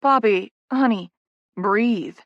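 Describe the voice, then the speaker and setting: A woman voicing a calm, motherly office colleague in an audiobook, friendly, businesslike and reassuring. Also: mid-range voice, takes it slowly, dry recording, lo-fi sound.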